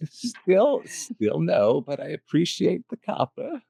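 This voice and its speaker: A man's voice: high-pitched, big pitch swings, slow pace, creaky, dark tone, husky, no echo, pitched above the performer's own voice, exaggerated voice. A man voicing a coy, teasing old grandmother who trades in information, in a live tabletop game.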